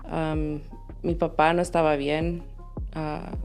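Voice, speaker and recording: Female interview guest, gravelly low register, candid conversational delivery, code-switches between English and Spanish. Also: level tone, a little slow, a little mellow, faint music behind. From Los Angeles, United States. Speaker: podcast guest. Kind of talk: candid conversational interview, telling life story.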